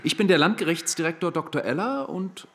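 An actor playing a lawyer who reads out a judge's part in a conversational radio play style. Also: male voice, on the high side, animated, a little fast, fairly bright tone. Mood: wry.

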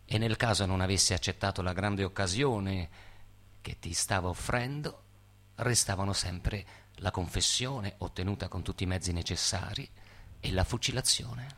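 Man, low pitch, solemn scripted narration, measured, theatrical reading. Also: fairly bright tone. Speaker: actor and narrator.

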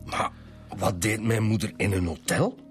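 An actor playing a shocked, disbelieving Flemish man who speaks haltingly, in a naturalistic radio drama. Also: fairly low pitch, steady tone, soft background music, close to an everyday voice. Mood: shocked disbelief. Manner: halting, incredulous. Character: shocked, disbelieving adult son of murdered woman.

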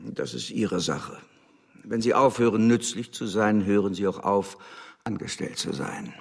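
A man's voice: lowish voice, unhurried, vocal fry, slightly rough, exaggerated voice. An actor playing a contemptuous, condescending embassy official who scolds his agent with sarcasm in a radio drama. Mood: imperious, threatening.

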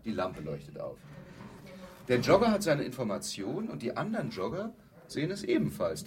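A man's voice: mid-range voice, slight background noise. An actor playing a glib, self-assured advertising man who explains matter-of-factly and asks rhetorical questions in a radio play. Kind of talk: German radio play, conversational monologue acting.

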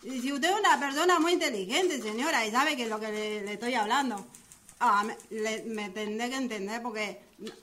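A woman's voice: a little high, moderate pace, fairly bright tone. A woman playing a nervous, servile, muddled maid in a radio drama, stammering and deferential, with an Argentine accent. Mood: flustered, apologetic. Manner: stammering, deferential, rambling, tangled grammar.

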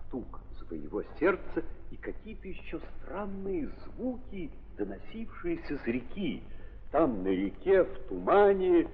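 A man narrating a 1930s audio drama in an emphatic, declamatory theatrical style, with repeated phrases and pauses, on an old recording. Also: medium pitch. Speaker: narrator of a staged literary drama. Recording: echoey, noisy, muffled 1930s audio play.

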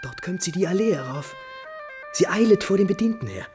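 Ein Schauspieler spielt in einem Hörspiel der 1950er einen verliebten, ängstlich schwankenden Prinzen, erregt und theatralisch. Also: male voice, sits high, expressive, fairly quick, music in the background. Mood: anxious, agitated. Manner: agitated, theatrical, emotional. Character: lovesick, anxious, wavering young male prince. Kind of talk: 1950s German radio drama acting.

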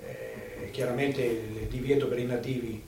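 A man's voice: mid-range voice, little pitch movement, a little slow, darkish tone. An older man recounting his own memories in a conversational way, as testimony. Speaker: witness giving testimony. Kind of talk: personal recollection, conversational storytelling. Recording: roomy, distant, noisy video interview sound.

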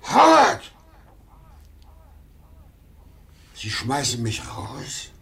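An aging travelling salesman in a 1950s German radio drama. He is proud but desperate, pleading and reminiscing with halting, repeated words. His mid-pitched voice rises and falls widely with feeling. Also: wildly swooping pitch, creaky, pushed and bright, exaggerated voice. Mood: desperate, indignant. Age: older. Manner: emotional, halting when pleading, repeating himself.

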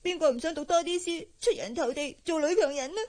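A timid young servant girl, played by an actress, speaks in a high, deferential voice. Her Hong Kong accent is plaintive and humble, in radio drama style. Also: fast, a little mellow, lightly acted. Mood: pleading. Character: meek, humble young housemaid from a poor family. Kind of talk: Cantonese radio drama acting.

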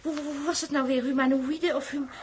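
Very high, nervous Dutch spacewoman, acted by a woman. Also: fairly quick, natural voice. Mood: relieved. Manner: nervous, stammering, excitable. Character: anxious, giggly young female space crew companion. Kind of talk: 1960s radio drama acting. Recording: noisy vintage 1960s radio recording.

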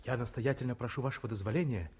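An actor playing a playful, gallant young bachelor son in a 1950s radio play. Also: male voice, mid-range voice, fast talker, a little mellow, slightly rough, close to an everyday voice.

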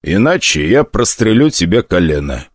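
Cold, flat demon hunter, read by a man. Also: deep voice, normal speed, no room sound. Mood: cold menace. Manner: flat, machine-like, dry menace.

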